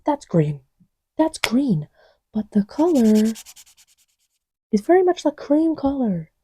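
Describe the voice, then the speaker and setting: A woman playing an affectionate, scatterbrained girlfriend in a casual roleplay audio, soft-spoken and often whispery, rambling playfully and self-deprecatingly. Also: mid-range voice, slightly rough, dry recording, exaggerated voice. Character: affectionate, scatterbrained girlfriend testing markers on her boyfriend's lap. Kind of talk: casual, improvised-sounding girlfriend roleplay audio with ASMR feel.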